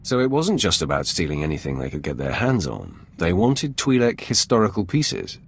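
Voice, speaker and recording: An actor playing a commanding, composed dark warrior lord in a video game, with a deep gravelly voice and measured, formal delivery. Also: male voice, wildly swooping pitch, no echo, heavily acted. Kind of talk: video game dialogue acting.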